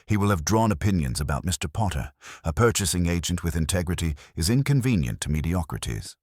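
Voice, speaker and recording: A man voicing an imperious, cerebral detective in an audiobook mystery, speaking formally in measured, pompous pronouncements. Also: sits low, very animated, a little mellow, no room sound, clean studio sound. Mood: dry, superior.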